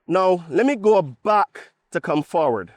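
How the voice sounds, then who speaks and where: A man's voice: sits high, lively intonation, a little slow, dry recording. A man from Barbados with a Bajan accent gives an opinionated podcast monologue, conversational and emphatic, often checking his points with a tag question. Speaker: podcast host.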